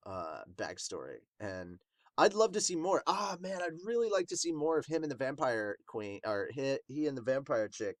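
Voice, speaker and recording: A man's voice: medium pitch, dry recording, clean studio sound. A man hosting a podcast, giving casual, rambling, slangy reaction commentary. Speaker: host of a manga review podcast.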